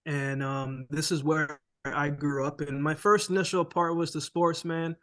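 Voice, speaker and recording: Man, from Jamaica, former sprinter, interview guest, fairly high voice, laid-back storytelling. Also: unhurried. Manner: relaxed, says "man" and "right?" often.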